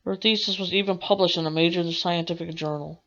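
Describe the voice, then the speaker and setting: A woman reading a visual novel aloud as a let's play, with a halting delivery that corrects itself. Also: on the low side, fairly even intonation, fairly bright tone. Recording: dull lo-fi mic with music behind. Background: game music.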